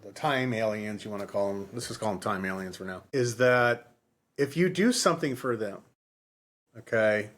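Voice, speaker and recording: A man's voice: fairly low pitch, animated, moderate pace, a bit bright. Rambling man chatting about books. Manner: rambling, repeats himself. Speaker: podcast co-host. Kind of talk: casual book discussion.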